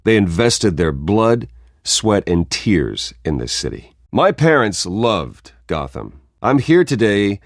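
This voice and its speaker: An actor playing a composed, earnest billionaire heir who speaks in measured oratory and can turn defiant, in a video game. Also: male voice, lowish voice, sing-song, no room sound, studio quality, heavily acted. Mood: solemn, earnest. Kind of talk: video game voice acting, public speeches.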